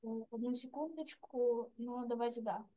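A woman student in an online lesson, reading Hebrew haltingly and stumbling over syllables. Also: fairly high pitch, warm tone, poor audio. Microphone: computer mic on a video call.

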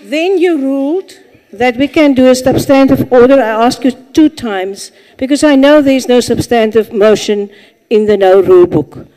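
A woman's voice: fairly high pitch, mellow, slight background noise. A middle-aged woman firmly citing procedural rules in a parliamentary session. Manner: firm, procedural, measured. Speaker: member of parliament. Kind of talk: parliamentary point of order. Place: large parliament chamber, indoors. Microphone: desk microphone, seated. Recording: reverberant parliament chamber.

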